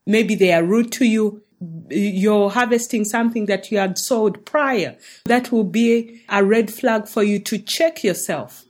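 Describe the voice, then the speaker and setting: A woman's voice: medium pitch, darkish tone. A woman from Uganda, hosting a faith-based teaching talk in an earnest, encouraging way. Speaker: show host.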